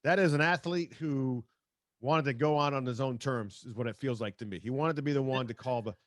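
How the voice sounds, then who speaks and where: A man hosting a sports talk show, speaking conversationally and haltingly as he comments and reads out quotes. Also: mid-range voice, no room sound, studio quality.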